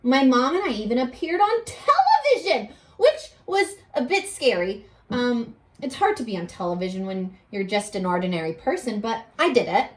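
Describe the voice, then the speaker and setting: High-pitched, very animated woman reading to children. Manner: animated, addressing children with questions and pauses. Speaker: teacher reading a picture book aloud. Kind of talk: expressive read-aloud for young children with teaching asides.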